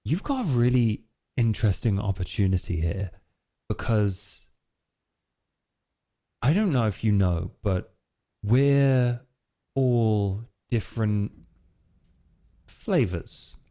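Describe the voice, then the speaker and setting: An earnest, distracted vampire, played by a man at a game table, speaking slowly in a low, creaky voice. He meanders hesitantly, with gentle curiosity. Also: expressive. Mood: curious, tentative. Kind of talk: in-character tabletop roleplay.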